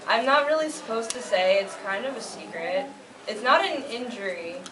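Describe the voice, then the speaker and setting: A woman's voice: a little high, expressive, normal speed, close to an everyday voice. A woman as chatty teasing young anime guy, echoey room. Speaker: cosplay panelist. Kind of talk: improvised in-character panel banter. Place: convention panel room with audience. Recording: echoey noisy convention room, far from mic.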